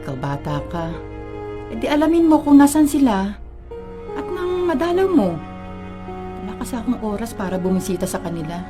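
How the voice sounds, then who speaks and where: A woman's voice: fairly high pitch, sing-song, moderate pace, pauses often, music in the background. A woman playing a caring, protective middle-aged mother with a Filipino accent in a radio drama. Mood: worried, agitated.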